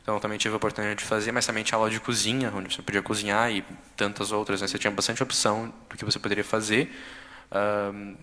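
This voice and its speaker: A man's voice: on the low side, flat delivery, quick pace, sharp tone. A young man with a Brazilian Portuguese accent gives an informal account in a conversational, somewhat hesitant way. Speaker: exchange student giving a testimony. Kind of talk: informal spoken account at a public session. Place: council chamber. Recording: echoey hall with long reverb.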